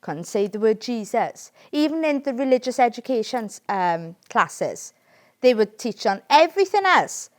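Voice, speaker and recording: A woman's voice: on the high side, sing-song, normal speed. Earnest Welsh woman, emphatic. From Wales. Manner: earnest and emphatic, keeps asking "right?". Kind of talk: casual political discussion.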